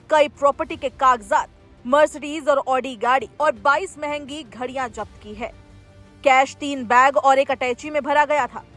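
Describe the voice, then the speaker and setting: High-pitched woman reading news over music. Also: fairly bright tone. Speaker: news presenter. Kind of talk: scripted news narration. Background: background music.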